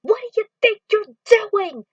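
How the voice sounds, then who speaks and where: A woman voicing an earnest young hero, speaking high and loud with intensity. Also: lively intonation, measured pace, dry recording, far from the mic, muffled recording. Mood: defiant.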